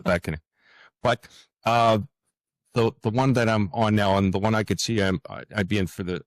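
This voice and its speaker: A man with a low voice and a close, dry sound talks reflectively in an interview. He is earnest and halting, often doubling back on his words as he explains himself. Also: steady tone, dry recording. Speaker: podcast guest, fantasy writer.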